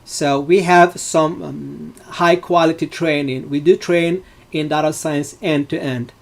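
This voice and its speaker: A middle-aged man from Morocco, his voice sitting a little high, teaches a live online class. He speaks in loose, halting phrases padded with fillers as he explains each point to his students. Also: steady tone, gravelly. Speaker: data science instructor.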